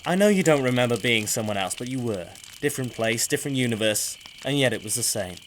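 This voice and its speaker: Male actor as fatalistic mercenary, weary, deadpan, mid-pitched, slight background noise. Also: fast, close to an everyday voice. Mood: weary, sardonic. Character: jaded, fatalistic male mercenary, drily sardonic. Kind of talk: audio drama acting.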